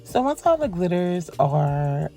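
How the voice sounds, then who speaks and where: A woman giving a soft-spoken voice-over for a nail tutorial, polite and relaxed, rambling with frequent ums. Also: fairly low pitch, takes it slowly, gravelly. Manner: soft, quiet, polite phone-etiquette voice. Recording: voice-over with faint background music.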